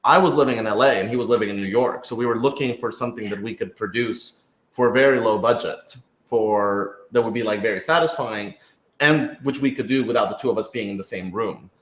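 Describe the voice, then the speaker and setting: A man with a fairly low voice talks through his thoughts in a casual panel discussion. He hedges and peppers his speech with "like". The room adds a clear echo around him. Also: a little fast. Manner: thoughtful, hedging, frequent "like". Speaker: podcast creator and writer in a group Q&A. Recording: roomy, echoey room sound.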